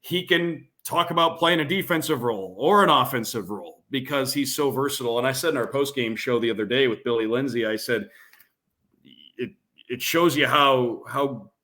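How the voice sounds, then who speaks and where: Man talking sports analysis, mid-pitched voice. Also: normal speed, sharp tone. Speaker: sports radio play-by-play announcer, podcast guest. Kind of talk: casual sports analysis in an interview.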